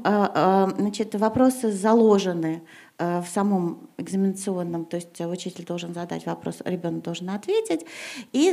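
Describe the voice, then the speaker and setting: A woman's voice: medium pitch, dark tone. A middle-aged woman teacher speaking at a panel discussion before an audience, hesitating with many fillers and then pressing her points with emphasis. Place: gymnasium hall in front of an audience.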